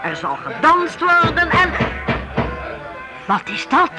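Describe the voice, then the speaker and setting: An expressive, reflective narrator telling a scripted historical radio drama with emphatic phrasing. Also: very high voice, slow pace, loud music behind the voice, heavily acted.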